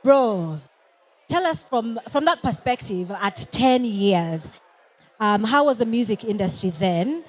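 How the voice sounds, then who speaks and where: A woman's voice: a little high, animated, slow, a little hiss or hum. Playful woman hosting. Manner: playful, teasing, mixes in local slang. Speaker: host. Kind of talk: casual interview chat.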